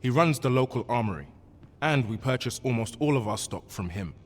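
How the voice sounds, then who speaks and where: An actor playing a courteous guild clerk in a fantasy game, speaking formally and apologetically in old-fashioned phrasing. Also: male voice, medium pitch, animated, brisk, lightly acted. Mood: courteous, helpful. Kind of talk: scripted fantasy game dialogue. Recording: long hall reverb, noisy ambience behind.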